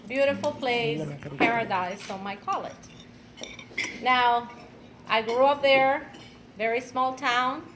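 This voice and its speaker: 50s lady telling her story at a dinner event, slowish, lots of ums, dishes clinking in the back. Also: fairly high pitch, noisy background. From Venezuela. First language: Spanish. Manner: unhurried, with frequent filler sounds and light laughs. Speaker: speaker at an event. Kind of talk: personal storytelling talk. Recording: clattering dishes and cutlery behind.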